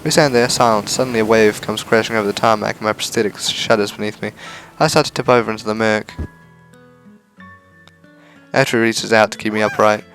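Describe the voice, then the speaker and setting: A man's voice: on the low side, steady tone, fairly quick, fairly bright tone. A man reading a visual novel aloud as a let's player, in a narrating style with casual reactions, over game music. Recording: music behind the voice.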